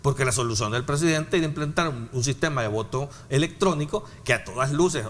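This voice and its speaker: Salvadoran man, argumentative, explains with examples, soft background hiss. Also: mid-range voice, fast. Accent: Salvadoran Spanish. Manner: argumentative, gives worked examples. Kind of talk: political discussion.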